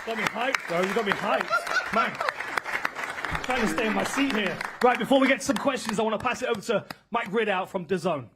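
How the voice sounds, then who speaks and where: A man's voice: high voice, a little fast. A man hosting a press conference, energetically introducing the fighters on stage. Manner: energetic announcer. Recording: crowd and faint noise behind.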